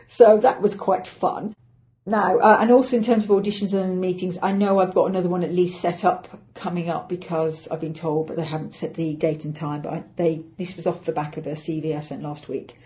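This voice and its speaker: Chatty English actress, low mellow voice. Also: female voice. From England. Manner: chatty, self-deprecating, thinks aloud. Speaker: actress and podcast host. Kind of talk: casual solo podcast update.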